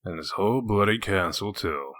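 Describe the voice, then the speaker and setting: Man acting a low, hard-edged, gruff detective. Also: expressive, moderate pace, fairly bright tone, dry recording, studio quality. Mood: wry. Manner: blunt, sardonic, confrontational. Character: gruff foul-mouthed wolf detective in human form, blunt and accusing. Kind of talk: comic dub voice acting.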